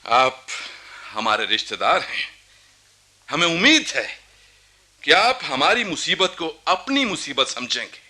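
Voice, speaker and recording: An actor playing a proud, embittered young Nawab in a historical radio drama, with imperious, rhetorical courtly speech. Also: male voice, fairly high pitch, big pitch swings, a little slow, pauses often, sharp tone, full character voice. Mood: courtly, commanding. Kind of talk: theatrical Hindi radio historical drama acting.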